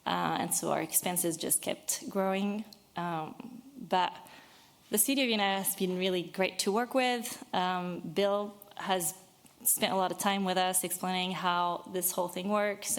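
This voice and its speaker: Earnest French-born woman addressing a board. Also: lowish voice. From Brittany, France. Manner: earnest, a bit nervous, many fillers. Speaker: business owner addressing a city board. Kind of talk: public comment at a meeting.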